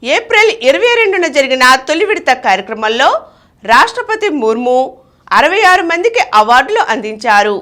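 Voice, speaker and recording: A woman's voice: sits high, very animated, brisk, a bit bright. A woman presenting scripted news headlines and reports as a newsreader.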